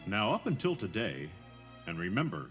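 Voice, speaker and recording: A man's voice: lowish voice, huge pitch swings, normal speed, fairly bright tone, soft background music. A man narrating a scripted audio tour as a guide, in a conversational, emphatic storytelling manner.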